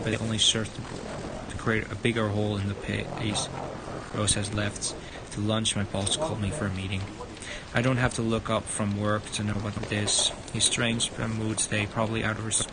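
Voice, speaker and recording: Creaky low-voiced man reading horror aloud. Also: heavy background noise. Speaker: livestreamer reading a visual novel aloud. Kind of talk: dramatic read-aloud narration of horror story. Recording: loud music over the voice. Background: loud music.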